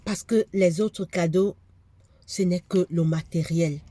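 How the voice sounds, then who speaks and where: A woman's voice: on the low side, steady tone, slow, mellow, no echo. A woman with a cold preaching a fervent sermon, repeating phrases for emphasis. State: has a cold, congested.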